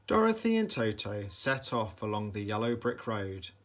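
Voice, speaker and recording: A man reading a children's bedtime story aloud with dramatic, deliberate emphasis for young listeners. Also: on the low side, very animated. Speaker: storybook reader, teacher.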